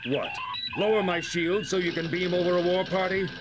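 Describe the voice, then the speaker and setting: An actor playing a defiant, sardonic space pirate leader in a sci-fi game, with a taunting, menacing, theatrical delivery. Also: male voice, high-pitched, fairly even intonation, normal speed, loud music behind the voice, natural voice. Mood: threatening, defiant. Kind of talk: scripted sci-fi game voice acting.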